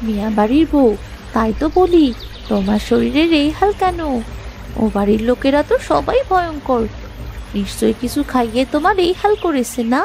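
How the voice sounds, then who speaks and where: A woman's voice: high voice, lively intonation, normal speed, creaky, a little mellow, slight background noise. A woman voicing a nosy, suspicious village neighbour in a cartoon, chatty and exclaiming. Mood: prying, shocked. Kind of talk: cartoon moral story voice acting.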